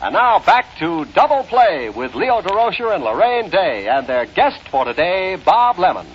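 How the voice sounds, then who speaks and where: American male announcer, lively emphatic 1950s radio delivery, lo-fi broadcast sound with music. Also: sits high, normal speed, slight background noise. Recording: band-limited early 1950s radio with music.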